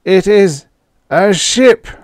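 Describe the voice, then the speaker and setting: A man voicing a frantic, hissing alien who raves in a shrill, high voice. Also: expressive, very slow, dry recording, clean studio sound, full character voice. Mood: agitated. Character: frantic hissing alien male, fearful and dramatic.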